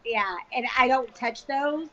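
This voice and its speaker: A woman's voice: high-pitched, measured pace, fairly bright tone, lo-fi sound. A woman in her forties co-hosting a casual online show, talking candidly and animatedly, with lots of filler words and the odd swear. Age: 40s. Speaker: co-host. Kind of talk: casual chat.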